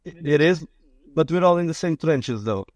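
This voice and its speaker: A man's voice: fairly high pitch, lively intonation, moderate pace, no room sound. Upbeat man welcoming podcast guests. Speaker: podcast host. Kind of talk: podcast introduction.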